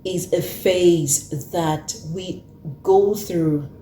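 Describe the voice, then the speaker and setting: A woman's voice: on the low side, extremely slow, dark tone, echoey room, slight background noise. A woman in her thirties hosting an earnest talk, who repeats her points for emphasis. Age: 30s. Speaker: host. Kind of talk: casual talk to camera.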